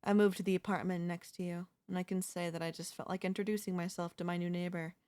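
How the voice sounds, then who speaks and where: A woman's voice: on the low side, level tone, fast, darkish tone, lightly acted. Woman acting an obsessive lovesick young yandere woman. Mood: calm, quietly menacing. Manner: intimate, pleading, emotionally intense delivery. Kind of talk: ASMR audio roleplay acting.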